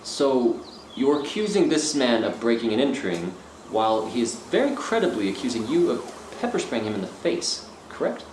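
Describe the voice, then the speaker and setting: An actor playing a blunt, sardonic police officer in a radio thriller, with a dry, authoritative manner. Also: male voice, medium pitch, sing-song, normal speed, darkish tone, natural voice. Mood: skeptical. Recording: echoey room with faint noise.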